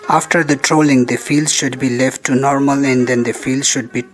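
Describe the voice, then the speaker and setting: A man narrates an educational film in a mid-pitched voice. He reads a prepared script formally, laying out instructions one step at a time. Music plays faintly behind him. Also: steady tone, normal speed. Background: background music.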